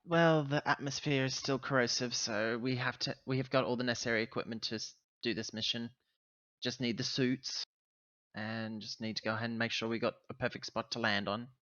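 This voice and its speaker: A man playing a roleplaying game, talking casually in character with halting, self-correcting explanations. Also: medium pitch, steady tone, fairly bright tone, no echo, dull audio. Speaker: tabletop roleplaying player.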